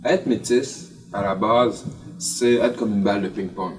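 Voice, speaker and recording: A man's voice: on the low side, measured pace, distant mic, rough recording. A man with a Quebec French accent telling personal stories in an interview, expressively acting out remarks that he quotes. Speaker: documentary interviewee. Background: faint music.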